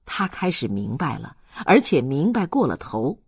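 A man's voice: on the high side, very animated, normal speed, husky, dry recording, studio quality. A man narrating a literary audiobook in a dramatic storytelling style.

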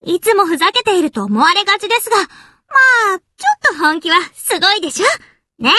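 A woman's voice: very high-pitched, sing-song, fairly quick, pushed and bright, no room sound, clean studio sound, exaggerated voice. A woman voicing a cheeky, quirky young warship girl in an anime game, cutesy, playful and mock-polite. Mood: teasing. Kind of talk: Japanese anime game character lines.

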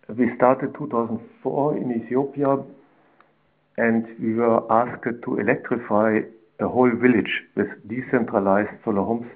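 A German man speaks in formal, plain phrases with short pauses, presenting to a webinar audience. His voice sits at a middle pitch and arrives thin and narrow, as over a phone line. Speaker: webinar panelist, founder.

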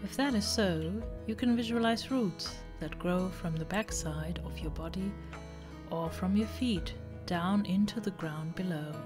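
A woman narrates a guided meditation in a fairly low voice. She gives her instructions slowly and deliberately, one short phrase at a time. Relaxing music plays clearly underneath her. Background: relaxing background music.

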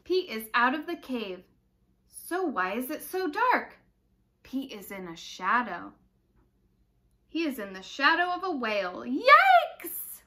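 Animated young woman reading a picture book. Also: high-pitched. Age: young adult. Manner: expressive, slow, dramatic delivery for young children. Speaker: children's story time reader. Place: living room indoors, by a brick fireplace.

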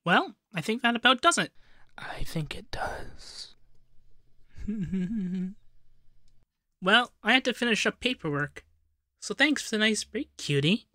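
Man as soft, flirty femboy doctor, very close. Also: high voice, expressive, slow, pauses often, no room sound, exaggerated voice. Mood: playful, affectionate. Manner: teasing, intimate, soothing. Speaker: ASMR roleplay performer. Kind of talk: ASMR roleplay, whispering and soft speech. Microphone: close binaural 3Dio microphone.

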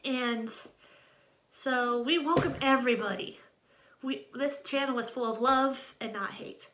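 A woman's voice: sits high, measured pace, fairly bright tone, slight background noise. A nineteen-year-old American woman chatting casually to camera in a rambling way, often interrupting herself. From Ohio, United States. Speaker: channel host introducing herself. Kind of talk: casual vlog chat to camera. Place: small room at home, indoors. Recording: echoey untreated room.

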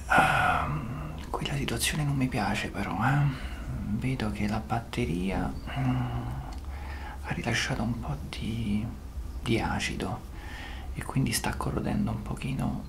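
A man in his thirties playing a sly, unflappable gynecologist in a soft-spoken ASMR roleplay, murmuring hesitantly in breathy, often whispered speech. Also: medium pitch, close to an everyday voice. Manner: slow, hesitant, murmuring with hums. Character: sly, unflappable male gynecologist examining a patient.

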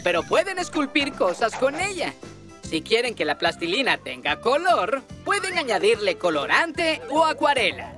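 A woman voicing a kind motherly figure explaining something to children in a dubbed cartoon, in a calm, instructive way. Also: a little high, normal speed, over music.

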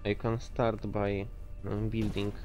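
A man's voice: fairly low pitch, monotone, slow pace, darkish tone, faint music behind. A man giving casual gameplay commentary, thinking aloud and swearing freely as he plays. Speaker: gamer commentating own gameplay.

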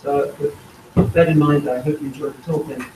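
A man's voice: on the high side, fairly even intonation, a little fast. A middle-aged man giving personal opening remarks to welcome people in a lecture hall. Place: indoor lecture hall at a podium. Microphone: handheld microphone, recorded by far camera. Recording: distant, echoey room recording with noise.

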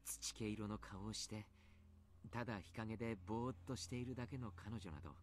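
An actor playing a soft-spoken, grave male doctor in a Japanese visual novel, speaking politely and choosing his words with care. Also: on the low side, racing, a little hiss or hum, close to an everyday voice. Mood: somber, troubled.

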